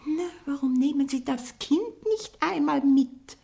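An actress playing a very old, proud, reclusive aunt in a German radio play, imperious and biting. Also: female voice, sits high, lively intonation, a little slow, creaky, mellow, heavily acted. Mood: bossy. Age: older.

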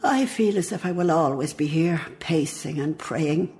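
A woman's voice: sits low, a little slow, a little mellow, soft background music. An actress playing a devout, fond old Irish woman in a reflective radio play monologue, with an Irish accent. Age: older.

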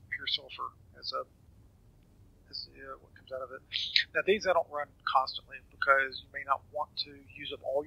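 A man with a raspy voice giving a casual, unscripted game walkthrough, hesitant and trailing off as he goes. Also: medium pitch, pushed and bright, poor audio. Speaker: gamer giving a tutorial.

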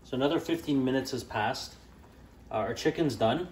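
A young man explains a recipe as he cooks, in a casual, unhurried voice of ordinary pitch. He corrects himself now and then and fills pauses with small "uh"s. Faint kitchen noise and frying hum sit behind him. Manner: relaxed and plain-spoken, with frequent "uh" and "um" fillers and small self-corrections. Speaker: home cooking channel host. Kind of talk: step-by-step cooking instruction. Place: home kitchen at the stove.

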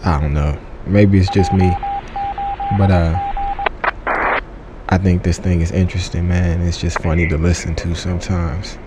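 A man's voice: deep voice, little pitch movement, normal speed. A man giving a casual, unscripted app review in a slangy, joking, offhand way. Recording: clearly noisy background.

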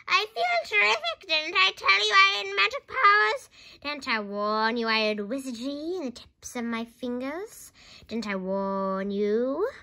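A woman voicing a bossy, boastful old grandmother who shouts scornfully in a storybook reading. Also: fairly high pitch, unhurried. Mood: boastful, triumphant.

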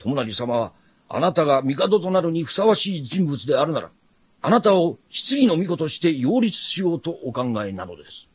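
An actor playing a stern retainer of a noble house, speaking formal, archaic Japanese with haughty deference in a period fantasy radio drama. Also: male voice, on the high side, sing-song, moderate pace, husky, no echo. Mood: solemn, formal.

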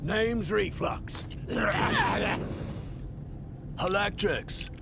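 An actor playing a halting, good-natured oddball superhero in an animated game. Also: male voice, high-pitched, full character voice. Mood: friendly. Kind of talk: animated film game voice acting. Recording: clear background noise.

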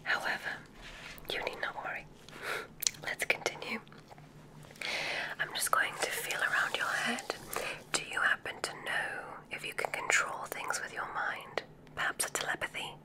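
A woman whispering an ASMR roleplay as a kindly witch examiner, giving gentle, reassuring instructions in a soft, unhurried way. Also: rough recording. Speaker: ASMR performer in a witch medical exam roleplay.

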